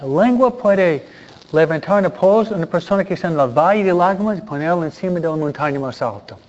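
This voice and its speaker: A priest delivering an earnest sermon in Spanish, often pausing for rhetorical questions. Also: male voice, on the high side, lively intonation, a little hiss or hum. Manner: earnest, preaching with repeated pleas and rhetorical questions. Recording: dull lo-fi sound.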